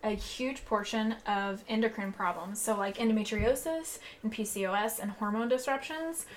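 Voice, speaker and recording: Upbeat female podcast co-host for an ad read, slightly high voice, faint noise floor. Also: normal speed, poor audio. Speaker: podcast co-host reading a sponsor spot. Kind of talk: upbeat ad read in casual banter.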